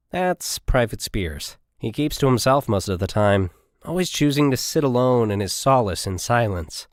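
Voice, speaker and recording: A man's voice: mid-range voice, no echo, clean studio sound. A man reading a scripted military science fiction story as an audiobook narrator.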